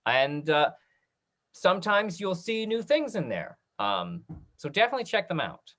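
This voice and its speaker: Talkback host, very animated pitch, medium register, upbeat and encouraging, casual, video call. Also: normal speed.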